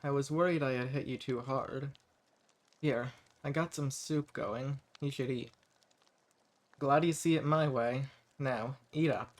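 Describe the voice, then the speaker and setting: A man playing a centuries-old hermit vampire, eccentric and sweet-talking with quiet menace, in a scripted roleplay audio drama spoken to the listener. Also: medium pitch, steady tone, measured pace, close to an everyday voice. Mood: coaxing, solicitous.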